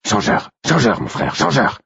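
Man voicing an urgent wolf, husky and insistent. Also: fairly low pitch, wildly swooping pitch, normal speed, a bit bright, no room sound, muffled recording, voice pushed up, exaggerated voice. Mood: urgent, pleading. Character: urgent male wolf, loyal brother speaking mind to mind.

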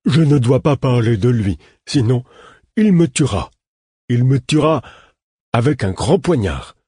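Give me a childlike, frightened little man, voiced by a male narrator, shrill and strained with fear, in a close, dry audiobook read with a slightly husky edge. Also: sing-song, measured pace, mellow, dry recording. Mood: terrified. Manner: high, strained, terrified pleading. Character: frightened simple-minded small man, childlike.

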